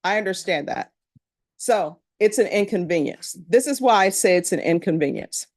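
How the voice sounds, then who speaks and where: A woman's voice: mid-range voice, expressive, normal speed, darkish tone, no room sound, clean studio sound. A middle-aged woman from Louisiana with a Louisiana accent, a judge speaking to a jury panel in a courtroom in a plain, conversational storytelling manner.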